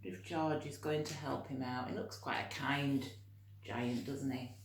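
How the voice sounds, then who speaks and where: A woman reading a story to young children in an expressive storytelling style, with chatty asides. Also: rumbling low, a little slow, echoey room, a little hiss or hum.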